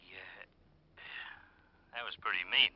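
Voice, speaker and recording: Dry American cop, muffled radio. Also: male voice, lowish voice, takes it slowly. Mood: smug, teasing. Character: gruff, teasing male police lieutenant. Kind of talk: 1950s radio drama acting. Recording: muffled old radio broadcast recording.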